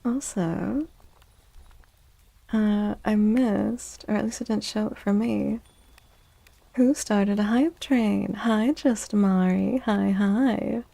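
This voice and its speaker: A soft-spoken woman hosting an ASMR livestream, chatting casually and unhurriedly with viewers, close to the microphone. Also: mid-range voice, steady tone, slow pace, creaky. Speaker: live streamer hosting ASMR tarot stream.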